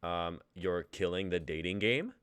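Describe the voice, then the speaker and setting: A man's voice: low voice, measured pace, fairly bright tone, clear, resonant tone, dry recording. A man playing a protective, supportive friend in a comedy sketch reading, with a reassuring manner.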